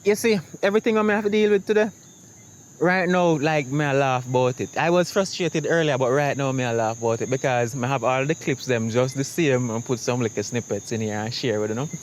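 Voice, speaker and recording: A man's voice: medium pitch, steady tone, brisk, slight background noise. A man with a Jamaican accent chatting casually to camera as a vlogger, reflective and optimistic in a rambling way. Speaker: YouTube vlogger.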